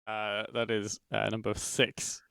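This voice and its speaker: A young man hosting an online event casually announces the next entries, halting often with ums and uhs. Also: lowish voice, monotone, vocal fry, a bit bright, clean tone, no room sound. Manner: halting, with many um and uh. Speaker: event host.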